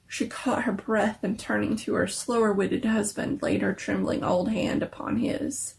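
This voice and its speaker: A woman's voice: medium pitch, steady tone, a little slow, gravelly. A woman narrator giving a dramatic reading of a scary story.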